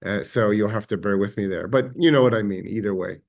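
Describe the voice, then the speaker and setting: A man with an Israeli accent giving a measured, reflective history lecture, pausing now and then with fillers. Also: lowish voice, vocal fry. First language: Hebrew.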